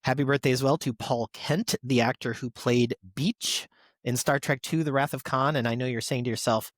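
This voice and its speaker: A man's voice: mid-range voice, fairly even intonation, normal speed, no echo, clean studio sound. A man hosting a podcast, reading out tributes in a conversational, stop-and-start way with casual asides. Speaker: podcast host.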